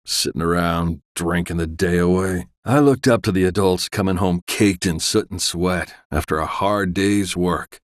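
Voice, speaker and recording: Low-voiced melancholy former miner, played by a man. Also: moderate pace, no room sound, studio quality, lightly acted. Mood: melancholy, resigned. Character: melancholy, jaded former miner in a dying town. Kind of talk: video game NPC voice acting.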